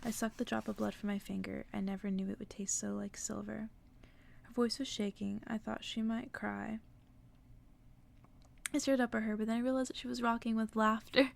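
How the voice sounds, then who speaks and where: Hushed woman reading aloud, creaky voice. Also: on the high side, flat delivery, normal speed. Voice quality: soft, partly whispered. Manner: hushed, drifting into casual asides. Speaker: host reading a book aloud on an ASMR channel. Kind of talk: ASMR bedtime story reading.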